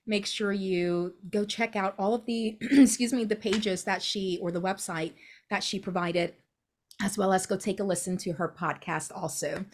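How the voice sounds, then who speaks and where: A woman hosts her own coaching podcast in an upbeat, encouraging way, and her closing remarks sound practiced. Her voice sits in a middle pitch range. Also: steady tone, normal speed. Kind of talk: podcast interview hosting.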